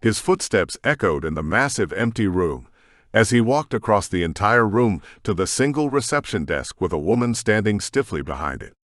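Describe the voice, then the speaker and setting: A man's voice: fairly low pitch, big pitch swings, moderate pace, no room sound, studio quality. A man reading scripted fiction as an audiobook narrator. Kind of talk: scripted sci-fi story narration.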